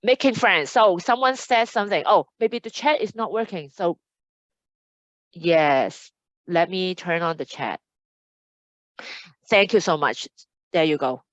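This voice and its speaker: A woman hosts a casual webinar over a video call, chatty and upbeat as she reads audience comments aloud. Her voice sits fairly low and sounds dry and close. The audio is dull and lo-fi, like a typical online meeting. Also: lively intonation, a little slow, long pauses, dry recording. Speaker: admissions host.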